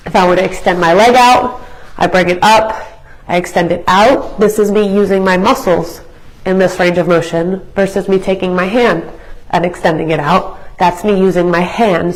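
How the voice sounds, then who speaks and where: A young woman fitness instructor with a raspy, hoarse voice from being sick, chatting through a live workout class in an educational way with many fillers. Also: lowish voice, fairly even intonation, moderate pace, low-quality recording. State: hoarse from illness.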